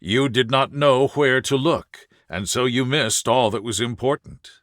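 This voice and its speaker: A man's voice: mid-range voice, unhurried, a bit bright, no echo, clean studio sound, lightly acted. A man voicing a composed, authoritative male detective with a precise, assured, lecturing manner in an audiobook. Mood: analytical. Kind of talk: audiobook character dialogue.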